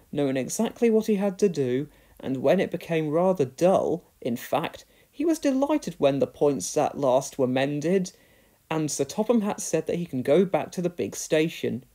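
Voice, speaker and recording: A man narrates a children's storybook in a fairly high voice. His delivery is very lively, rising and falling through the scripted lines. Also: measured pace. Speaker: story reader.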